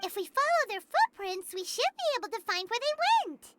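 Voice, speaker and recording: A woman voicing a tiny, eager female fairy companion who talks in a high squeaky voice and exclaims often. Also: normal speed, very noisy. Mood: excited. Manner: excitable, exclaiming. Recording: game audio with music behind.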